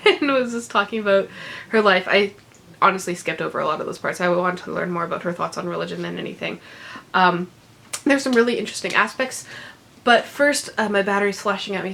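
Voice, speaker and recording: A woman's voice: medium pitch, fairly bright tone, a little hiss or hum. A woman chatting casually about books she has read, congested and recovering from illness, rambling with many ums and little laughs. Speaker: book vlogger. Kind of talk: casual unedited book chat.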